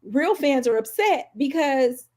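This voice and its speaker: A woman's voice: high-pitched, animated, a little slow, a little mellow. A woman co-hosting a podcast, talking casually and emphatically about music as an opinionated fan. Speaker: podcast co-host.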